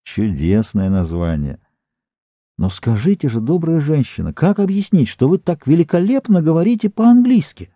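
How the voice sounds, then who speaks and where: A man's voice: fairly high pitch, very animated, moderate pace, dark tone, dry recording, studio quality, pitched above the performer's own voice. Man doing an eager, insistent Earth captain. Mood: hearty. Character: plump, self-assured Earth rocket captain, man.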